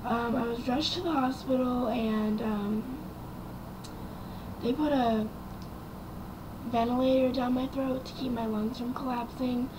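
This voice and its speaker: Young woman, raspy quiet voice, slightly high, rambling with ums and likes, noisy recording. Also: steady tone, measured pace, long pauses. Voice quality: raspy and quiet from vocal cord damage. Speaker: vlogger. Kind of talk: casual personal story to camera.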